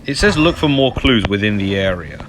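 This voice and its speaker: A man's voice: fairly low pitch, big pitch swings, brisk, background noise. A man doing casual gameplay commentary, muttering to himself with exasperated asides. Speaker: gamer commentating a playthrough. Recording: game music behind the voice.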